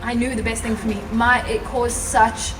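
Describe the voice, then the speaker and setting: A woman's voice: on the high side, level tone, moderate pace. Passionate woman telling her story. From South Africa. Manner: passionate, chatty, laughs often. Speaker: guest speaker. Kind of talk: personal story at a live event. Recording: roomy, from far off, music behind.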